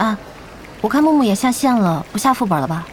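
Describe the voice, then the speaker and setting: A woman playing a hesitant young female gamer in an audio drama, speaking awkwardly and haltingly. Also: medium pitch, lively intonation, darkish tone, a little hiss or hum, close to an everyday voice. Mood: sheepish.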